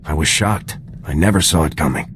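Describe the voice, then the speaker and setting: A man's voice: very deep voice, brisk, fairly bright tone, slight background noise. A man playing a terse, plain-spoken soldier hero in a sci-fi video game. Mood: serious. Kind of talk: video game dialogue acting.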